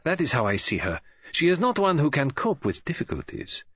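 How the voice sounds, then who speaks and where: A male narrator acts a pompous older foreign detective in an audiobook. He is formal, deliberate and sure of himself, with very lively pitch movement. The sound is slightly dull. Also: husky, dry recording, exaggerated voice. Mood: grave, considered. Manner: formal, deliberate, self-assured.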